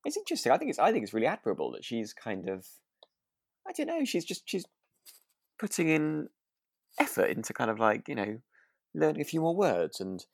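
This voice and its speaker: Woman, very deep voice, British accent, animated swooping pitch, dry close sound, casual rambling podcast chat. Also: fairly quick, creaky, pauses often, slightly rough, no echo. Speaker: podcast co-host. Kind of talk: casual chat reviewing a radio drama.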